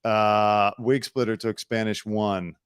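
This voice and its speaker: A man hosting a casual talk show, telling stories in a relaxed, chatty way. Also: fairly low pitch, takes it slowly, smooth, dry recording, studio quality. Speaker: host. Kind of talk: casual chat.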